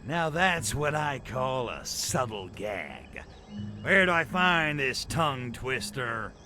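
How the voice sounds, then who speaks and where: An actor playing a cocky, lecherous alien invader in a video game, delivering sardonic wisecracks full of innuendo. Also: male voice, medium pitch, very animated, slow, bright, forceful tone, faint music behind, full character voice. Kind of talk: video game cutscene acting.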